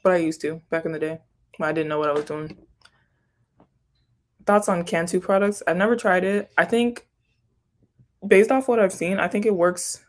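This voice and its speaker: A young woman, born in Haiti and raised in Florida, chatting casually as she answers viewer questions, rambling and second-guessing herself. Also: on the low side. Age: young adult. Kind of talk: casual chat.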